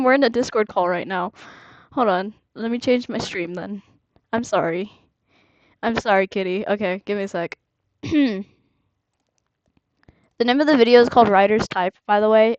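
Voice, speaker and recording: A young woman hosting a casual fan chat on a voice call, bantering excitably and full of opinions. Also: mid-range voice, no room sound. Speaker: host of a fan ship-rating stream. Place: indoors on a Discord call. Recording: dull, muffled voice-call audio.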